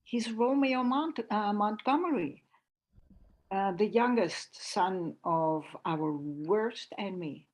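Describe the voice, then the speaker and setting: A woman playing a hesitant bearer of bad news, halting and stammering, in a table read over a dull video call. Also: medium pitch, darkish tone. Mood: hesitant, uneasy.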